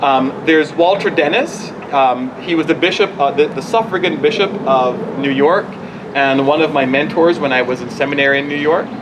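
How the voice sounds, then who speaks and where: A man in his forties from Barbados, a bishop informally answering questions outdoors in a halting, conversational way that often restarts sentences. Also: fairly high pitch, noisy background. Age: 40s. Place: outdoors at a church gathering.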